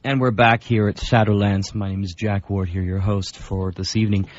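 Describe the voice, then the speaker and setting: A man's voice: fairly low pitch, little pitch movement, normal speed. A man hosting a radio show, casually introducing programs. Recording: slightly dull radio recording.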